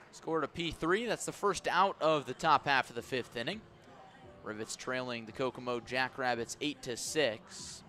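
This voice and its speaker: Guy doing baseball radio play-by-play, bright punchy voice, measured descriptive calls. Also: mid-range voice. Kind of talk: radio sports commentary.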